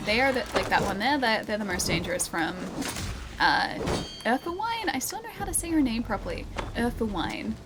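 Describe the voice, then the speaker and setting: A woman streamer chatting and laughing with her viewers as she plays a game, her voice a little croaky. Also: on the high side, big pitch swings, fairly quick, heavy background noise. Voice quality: voice a bit croaky early in stream. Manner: chatty, giggly, talks to chat while playing. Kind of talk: live gameplay commentary. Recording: game soundtrack playing behind. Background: game music.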